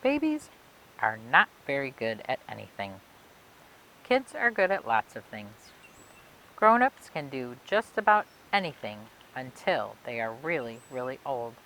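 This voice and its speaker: A woman reading a children's picture book aloud for a library storytime, expressively and phrase by phrase with emphatic pauses. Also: a bass voice, very animated, a bit bright, low-quality recording. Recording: clear background noise and hiss.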